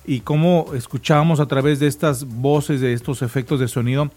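A man's voice: medium pitch, fairly even intonation, fairly quick, a little mellow. A man with a Mexican accent hosting a morning radio show in casual chat, addressing his co-hosts and listeners conversationally.

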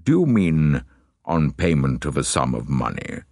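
A man voicing a dignified British lord and statesman in an audiobook, puzzled and earnest, with slow, halting speech. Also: a bass voice, big pitch swings, darkish tone, no room sound, studio quality, heavily acted. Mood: puzzled, hesitant.

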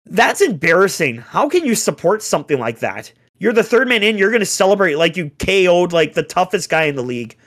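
A young man on a casual sports chat, ranting in an exasperated way with rhetorical questions, his voice going hoarse. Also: high-pitched, big pitch swings, a little fast, hardly any pauses, fairly bright tone. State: getting hoarse. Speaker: podcast co-host, hockey fan.

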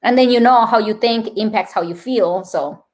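A woman's voice: a little high, moderate pace, a little mellow. A woman with a Colombian accent, a nurse and wellness speaker, explaining her ideas earnestly in a podcast interview. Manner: earnest, explanatory, lists points.

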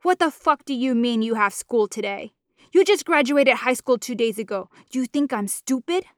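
A woman voicing a big, domineering mother who yells and curses at her daughter in a storybook reading. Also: fairly high pitch, expressive, normal speed, no echo, studio quality, natural voice. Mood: angry, scolding. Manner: yelling, cursing, scornful. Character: harsh foul-mouthed mother, big, furious, domineering.

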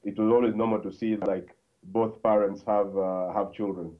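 A man from Congo talks as a podcast guest, his low voice coming through a tinny, phone-like line. He speaks haltingly, with plenty of fillers and restarts as he tells his story. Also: flat delivery. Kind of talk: conversational interview.

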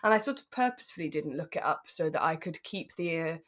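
A British woman co-hosting a relaxed chat podcast, giggly and self-mocking as she tells things in an animated way. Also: low-pitched, fast. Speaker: podcast co-host. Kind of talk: relaxed chat about a radio drama.

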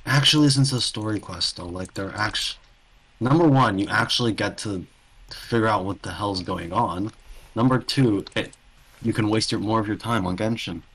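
Male co-host for gaming talk. Lower-pitched voice, informal bantering conversation. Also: moderate pace, fairly bright tone, breathy edge, low-quality recording. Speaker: podcast co-host. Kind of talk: casual chat about a video game.

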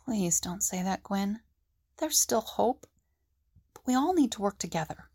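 Actress as level-headed female leader, earnest, mid pitch, noticeable vocal fry, audio drama. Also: lively intonation, measured pace, darkish tone. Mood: pleading. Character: earnest, level-headed woman leading a team.

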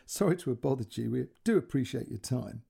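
A man's voice: medium pitch, lively intonation, brisk, vocal fry, dark tone, slightly rough, heavily acted. A man playing a polite, chatty young policeman in a story reading, eager and curious with an apologetic, hesitant manner.

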